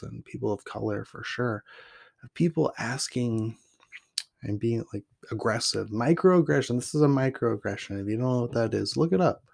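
Relaxed, low-voiced man musing on his roots. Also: gravelly. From Portland, Oregon. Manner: loose and reflective, with many fillers and restarts; reads his poem with emphatic rising lists. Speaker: podcast host and poet. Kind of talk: casual talk and poetry reading.

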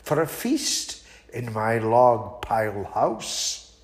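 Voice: mid-range voice, big pitch swings, drawn-out words. A storyteller voicing a sly, hungry male snake in a children's story who coaxes and invites. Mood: sly coaxing.

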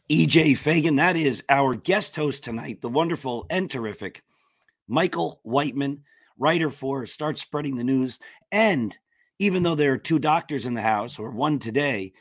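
A man hosts a casual sports talk show from a remote call, his voice worn and hoarse. He talks in an upbeat, chatty way and jumps from topic to topic. His voice sits a little high for a man, and the sound is close and dry. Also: dry recording. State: losing his voice. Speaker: podcast host.

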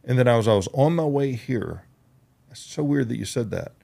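A man's voice: mid-range voice, animated, a little fast, vocal fry, mellow. A man in his fifties hosting a podcast interview, chatting casually and reflectively with frequent restarts. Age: 50s. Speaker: podcast host.

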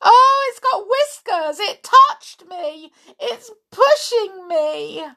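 A woman voicing a naughty female doll who is frightened and tearful, with high squealing cries. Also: lively intonation, slow, vocal fry, fairly bright tone, full character voice. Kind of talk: storybook reading.

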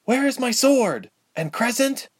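A man's voice: very high voice, very animated, a little slow, pitched above the performer's own voice, exaggerated voice. A man voicing an earnest, impatient young woman adventurer in a storybook, polite but urgent and full of questions. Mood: anxious, questioning. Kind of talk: storybook character voice.

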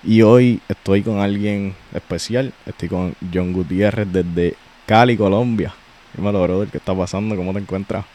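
Low-voiced Puerto Rican man hosting. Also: moderate pace. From Puerto Rico. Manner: slangy and relaxed, many restarts. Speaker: podcast host. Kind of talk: casual podcast chat.

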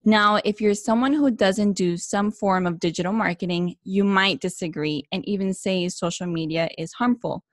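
A woman's voice: medium pitch, normal speed, few pauses, dry recording, studio quality. A woman hosting her own interview podcast, opening with a friendly scripted intro and then asking questions. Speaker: podcast host.

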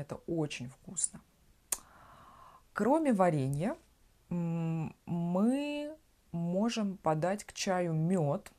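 Woman, low voice, unhurried, patient explanations, podcast host, from Russia. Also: warm tone. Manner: explains words simply, often asks "да?". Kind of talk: slow conversational language lesson.

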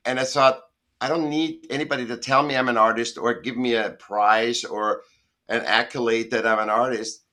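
Emphatic man, bright voice. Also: medium pitch, steady tone, normal speed. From Austria. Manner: rambling, emphatic, self-correcting. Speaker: guest artist. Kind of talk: casual interview talk. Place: home in Southern California.

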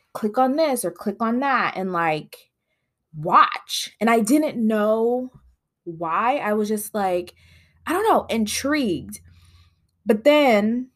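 Woman, nasal, stuffed-up sinuses, solo podcast host, chatty, lots of fillers. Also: mid-range voice. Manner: chatty, rambling with frequent fillers. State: congested sinuses. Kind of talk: casual solo podcast chat.

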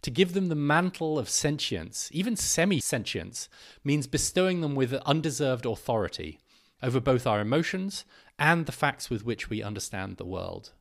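A man's voice: medium pitch, big pitch swings, normal speed, vocal fry. A man giving a scripted narration, reading an article aloud.

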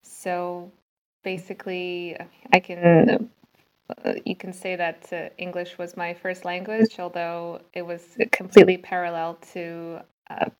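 Low, even-toned woman in conversation. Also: a little slow, low-quality recording. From Singapore. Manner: thoughtful, with frequent fillers and restarts. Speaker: school owner, guest. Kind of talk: casual interview.

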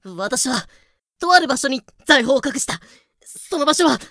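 A woman playing a cheeky, excitable young character in an audio drama, theatrical and playful. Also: high voice, a little slow, no room sound, studio quality, full character voice. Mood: defiant. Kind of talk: Japanese audio drama acting.

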